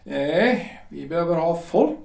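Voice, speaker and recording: Pompous shipyard boss, as an actor plays him, high, swooping voice, heard from a distance in an echoey room. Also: male voice, expressive, vocal fry, a little mellow. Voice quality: hesitant, drawn-out, insinuating. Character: pompous male shipyard director, ingratiatingly menacing. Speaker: shipyard director. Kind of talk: audio drama acting.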